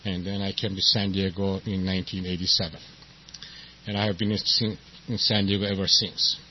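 A man in his sixties from Iran with an Iranian accent, giving a halting, self-deprecating personal testimony as the main speaker at a recovery meeting, full of fillers and restarts. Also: low voice, flat delivery. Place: meeting hall with an audience. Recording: dull lo-fi recording with faint noise.

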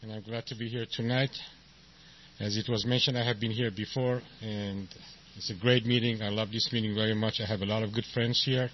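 A man in his sixties from Iran with an Iranian accent, giving a halting, self-deprecating personal testimony as the main speaker at a recovery meeting, full of fillers and restarts. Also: lowish voice, flat delivery. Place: meeting hall with an audience. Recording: dull lo-fi recording with faint noise.

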